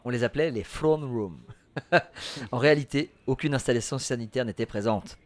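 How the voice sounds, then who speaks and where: A man's voice: medium pitch, normal speed, no room sound. A man co-hosting a casual radio-style trivia chat, playful and laughing often. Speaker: co-host. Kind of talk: casual banter and trivia quiz.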